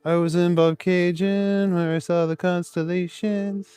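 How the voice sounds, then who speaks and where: A man's voice: high voice, flat delivery, a little slow, dark tone, smooth, soft background music. Easygoing man streaming outdoors. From Canada. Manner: easygoing, chuckling, rambling. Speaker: live streamer. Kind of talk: casual live stream, reading chat aloud. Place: outdoors on city streets in the cold.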